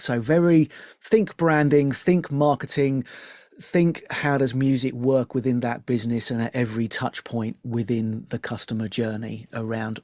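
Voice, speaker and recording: A man's voice: medium pitch, darkish tone, no echo, studio quality. A man giving earnest, explanatory interview answers as a music business founder, often voicing imagined customers to make his points.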